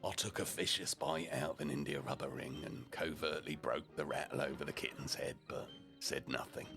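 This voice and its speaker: A male storyteller with a very deep voice and heavy creak reads a comic first-person tale. His phrasing is deliberate, broken by profane asides. Soft music sits behind him. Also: steady tone. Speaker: storyteller, streamer narrating in character as a deputy. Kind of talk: humorous story reading, dramatic first-person.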